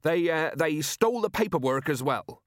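A man's voice: fairly high pitch, expressive, unhurried, no echo, studio quality, pitched above the performer's own voice. A man voicing a blustering, shifty merchant sea captain in an audiobook. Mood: evasive. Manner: loud, indignant, prone to stammering when challenged.

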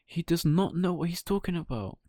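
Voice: medium pitch, animated, fairly quick, mellow, no echo, studio quality, voice pushed up, lightly acted. A man voicing a tiny, earnest cricket who warns urgently in a children's bedtime story. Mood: worried warning. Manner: urgent, warning.

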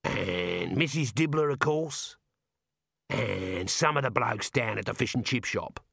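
A man's voice: mid-range voice, lively intonation, a little slow, vocal fry, fairly bright tone, breathy edge, no echo, clean studio sound, heavily acted. A man voicing a shifty, pushy male street hawker, talking in a wheedling sales patter. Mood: musing. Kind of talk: audiobook character reading.

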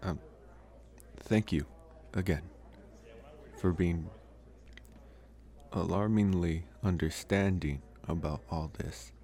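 A low-pitched young college vampire played by a man talks straight to the listener in an ASMR boyfriend roleplay. He is soft-spoken and halting, teasing in a wry, slightly awkward way, with plain affection underneath. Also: fairly even intonation. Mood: tender, hesitant.

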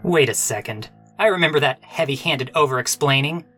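An actor playing a sardonic, melodramatic hard-boiled private detective in a parody radio mystery. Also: male voice, a little high, brisk, pushed and bright, soft background music. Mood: probing, knowing.

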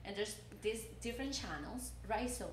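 A woman's voice: a little high, lively intonation, moderate pace, reverberant, a little hiss or hum. A woman in her twenties from Cuba, speaking English with a Cuban Spanish accent in a casual chat, often repeating words as she starts a thought. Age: 20s. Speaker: journalism student guest.

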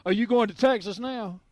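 High-pitched male call-in radio host, folksy and chatty. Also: dry recording. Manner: friendly, folksy, quick interjections.

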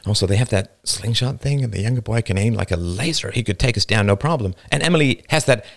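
A man's voice: on the low side, fast talker, gravelly. A man reading a frightened girl's racing thoughts. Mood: anxious. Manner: anxious, racing thoughts with stammered restarts. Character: frightened young girl thinking fast.

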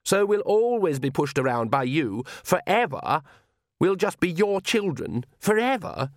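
Man voicing a petulant, high-pitched young vampire girl. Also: animated, no echo, clean studio sound. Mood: petulant, whining. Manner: whining, sarcastic, drawn-out complaint. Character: petulant, sneering young female vampire, rebellious daughter.

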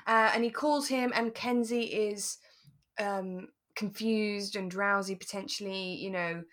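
A woman podcast co-host with a mid-range voice chats casually as she recaps a drama. She retells scenes in an animated way, slipping into quotes of what the characters said. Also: medium pitch, a little slow. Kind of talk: relaxed chat recapping a radio drama.

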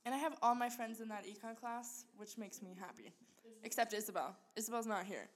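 Giggly Swedish-born teen girl, high voice. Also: a little fast. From Sweden. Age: teens. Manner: giggly, rambling, self-correcting. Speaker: podcast co-host. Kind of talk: casual chat. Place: crowded classroom.

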